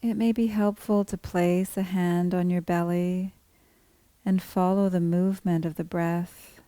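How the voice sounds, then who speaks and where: A woman leads a guided meditation in a mellow, soft-edged voice. Her delivery is very slow and even, with calm, measured phrases and pauses between them. The sound is dry and close, free of room reflections. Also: fairly low pitch, dry recording. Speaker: meditation teacher guiding a body scan. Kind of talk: guided meditation, scripted instruction.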